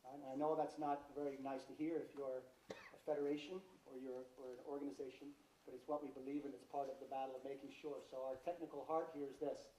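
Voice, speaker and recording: A man's voice: mid-range voice, little pitch movement, fast talker, dark tone, slight background noise. An American man giving a seminar presentation to coaches, speaking directly and posing rhetorical questions. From United States. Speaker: football development presenter. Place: large indoor seminar hall. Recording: roomy hall, distant microphone.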